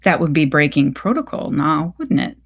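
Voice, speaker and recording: A woman's voice: low voice, lively intonation, moderate pace, vocal fry, husky, muffled recording, voice pushed down, heavily acted. A woman voicing an anxious, worn-down mother in a story reading, guarded and insistent. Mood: defensive. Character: anxious, worn-down, defensive mother isolated at home.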